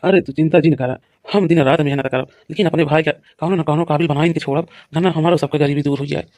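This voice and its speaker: A man's voice: on the high side, fairly even intonation, fast, a little mellow, dry recording, close to an everyday voice. A man playing a determined, hardworking farmer and elder brother in a village cartoon, reassuring and emphatic, with an Awadhi accent. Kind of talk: cartoon village comedy dialogue.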